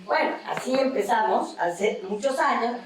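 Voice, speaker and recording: A middle-aged woman with a Mexican accent, an academic panelist giving a measured, explanatory seminar talk with tag questions. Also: on the high side, animated, a little hiss or hum. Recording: very echoey hall from far off.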